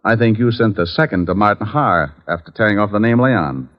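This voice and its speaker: A man's voice: fairly low pitch, expressive, brisk, natural voice. Wry private eye on muffled old radio played by a man. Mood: probing. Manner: dry, glib, wisecracking. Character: wry, wisecracking private detective, adult man. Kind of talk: 1950s radio acting with hard-boiled narration.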